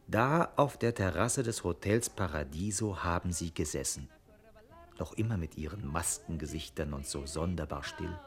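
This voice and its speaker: A man's voice: low-pitched, moderate pace, faint music behind. A man narrating a radio play as a storyteller, reflective and conversational in manner.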